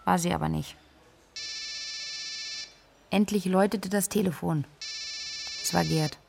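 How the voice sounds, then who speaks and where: An actress playing a blunt, restless young student in a radio play, speaking in a matter-of-fact, naturalistic way. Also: female voice, on the low side, steady tone, unhurried, creaky, noisy background. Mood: restless, dry. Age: young adult. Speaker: actor in radio drama. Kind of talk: naturalistic radio play acting, interview-like narration.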